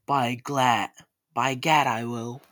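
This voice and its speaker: A man voicing a sharp-tongued, short-tempered mother who scolds her boy emphatically in a storybook rhyme. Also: medium pitch, expressive, measured pace, slightly rough, dry recording, studio quality. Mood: scolding.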